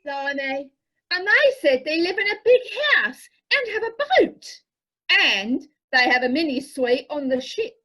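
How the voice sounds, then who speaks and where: A woman's voice: high-pitched, very animated, a little slow, sharp tone, exaggerated voice. A woman playing a blunt, slangy, cheeky daughter on a cruise in an amateur radio drama. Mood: cheeky, sceptical.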